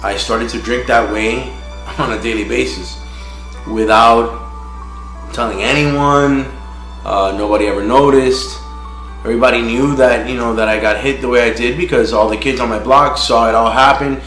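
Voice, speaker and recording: A man from Miami sharing his personal recovery testimony in a frank, halting way, with many "you know" fillers. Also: medium pitch, fairly even intonation, a bit bright. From Miami, United States. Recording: roomy echo with faint music.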